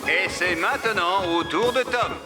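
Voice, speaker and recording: A man playing an excitable, dramatic commentator in a children's cartoon, full of exclamations. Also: sits high, a little slow. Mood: excited. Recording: music under the voice.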